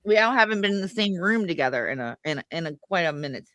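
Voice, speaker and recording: A woman's voice: lowish voice, expressive, quick pace, a bit bright, no room sound. A woman in her forties chatting bluntly and laid-back on a livestream. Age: 40s. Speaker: guest streamer. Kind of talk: casual livestream chat.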